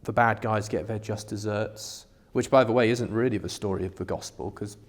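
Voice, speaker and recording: A man's voice: fairly low pitch, normal speed. A man co-hosting a podcast, musing aloud in a casual discussion about religion, recorded with long reverb. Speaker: podcast co-host.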